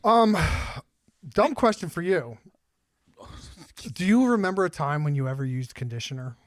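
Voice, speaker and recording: Higher-voiced man chatting casually. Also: animated, moderate pace, dry recording, clean studio sound. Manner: joking, blunt, swears casually. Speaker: podcast host. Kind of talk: casual chat.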